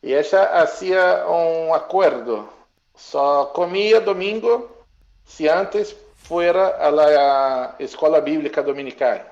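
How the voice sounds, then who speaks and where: A man interpreting in consecutive fashion, mixing two languages with a halting manner. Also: a little high, lively intonation, darkish tone, lo-fi sound. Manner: mixes Spanish and Portuguese, halting.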